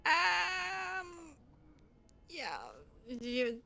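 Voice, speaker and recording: Woman, game roleplay, crisp bright tone, unhurried pace, hesitant, awkward, dry reluctance, casual. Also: extremely high pitch, expressive. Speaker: video game player roleplaying her character. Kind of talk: casual improvised in-character roleplay commentary.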